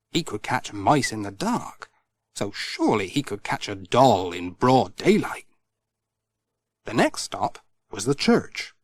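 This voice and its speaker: A man narrating a scripted children's story in an expressive storytelling manner. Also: mid-range voice, no room sound. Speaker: audiobook narrator.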